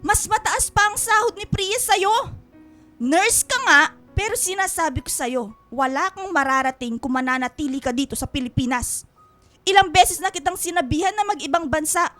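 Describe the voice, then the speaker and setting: A woman's voice: very high-pitched, expressive, normal speed, bright, forceful tone, soft background music, full character voice. A woman playing a proud, scolding older Filipino aunt in a radio drama, nagging with reproachful emphasis. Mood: indignant, reproachful. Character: scolding, proud older aunt who raised her nephew.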